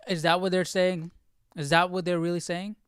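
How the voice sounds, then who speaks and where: Smooth-voiced man musing aloud, dry sound. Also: sits high, level tone, dry recording. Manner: informal, halting, muses to himself. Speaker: host of a Bible study livestream. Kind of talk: casual thinking-aloud commentary.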